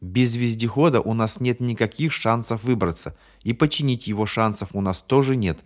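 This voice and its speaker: A man voicing a calm, controlled man who speaks in measured tones. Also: mid-range voice, natural voice. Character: calm, strained man keeping composure.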